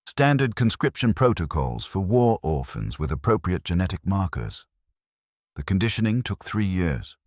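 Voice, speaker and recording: Very deep male narrator, solemn and measured. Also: big pitch swings, darkish tone, dry recording, clean studio sound. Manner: measured, solemn, clipped delivery in short statements. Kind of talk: scripted first-person dramatic story narration.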